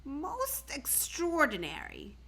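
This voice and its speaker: Lady doing a fussy puzzled man doctor from a kids book, kinda formal, slow and careful. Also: high voice, huge pitch swings, bright, forceful tone, voice pushed up, heavily acted. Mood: astonished. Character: baffled, fussy male family doctor. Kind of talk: storybook read-aloud.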